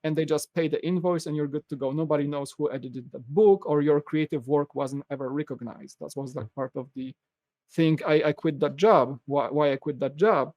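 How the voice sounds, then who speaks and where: A man with a Polish accent, talking in a fairly high voice as a podcast guest. He tells his story with animated energy and acts out what others said. The sound is dry and close, with no room echo. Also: normal speed. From Poland. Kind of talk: casual podcast interview.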